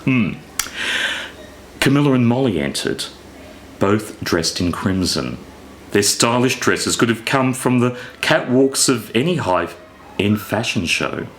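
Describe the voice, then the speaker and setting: A man reads fiction aloud with noticeable background noise. His delivery is theatrical and deliberate, taking its time while the pitch swings expressively through each sentence. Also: expressive, a bit bright. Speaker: author reading from own novella. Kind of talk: expressive scripted story reading.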